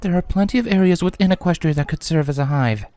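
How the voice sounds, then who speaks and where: A man's voice: a little high, quick pace, mellow, no echo, pitched above the performer's own voice, lightly acted. A man voicing a regal, resolute adult female pony princess in a fantasy storybook reading. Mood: measured.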